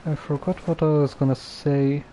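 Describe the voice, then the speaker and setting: A game streamer giving hesitant, low-key live commentary with long gaps and trailing thoughts. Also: flat delivery, normal speed, dark tone, a little hiss or hum, poor audio.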